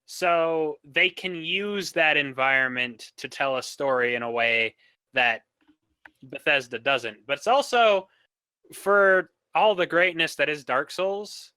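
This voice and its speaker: Bright, forceful-voiced man, blunt and emphatic. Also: fairly high pitch, expressive, slow, smooth, no echo. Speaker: podcast guest. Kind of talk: casual opinionated discussion.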